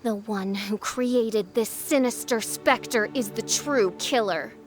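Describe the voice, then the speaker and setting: A theatrical teenage princess in a fantasy game, high in pitch and slow in delivery. She declaims in pompous, archaic phrases with great melodrama. Faint music sits underneath. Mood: ominous, dramatic. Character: theatrical, grandiose teenage self-styled dark princess. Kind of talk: video game character acting.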